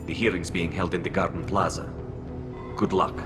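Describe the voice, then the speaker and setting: A man playing a gruff, blunt alien ship captain in a video game, curt and authoritative. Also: sits low, steady tone, moderate pace, close to an everyday voice. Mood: stern, warning. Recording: loud music under the voice.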